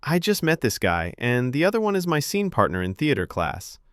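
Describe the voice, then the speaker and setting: A man's voice: medium pitch, huge pitch swings, a little fast, clear, resonant tone, dry recording, clean studio sound, voice pushed up. A man voicing a friendly, joking young woman with a light, playful manner in an audio story.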